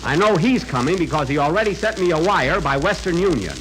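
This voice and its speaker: A man's voice: on the high side, lively intonation, fairly quick, a crowd in the background, lightly acted. A man playing an evasive, boastful character in old-time comedy, on a crackly archive recording. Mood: defensive, boastful.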